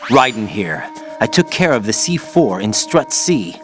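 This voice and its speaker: A man's voice: fairly low pitch, very animated, unhurried. An actor playing an earnest young male rookie operative who asks lots of questions. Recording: game cutscene with music.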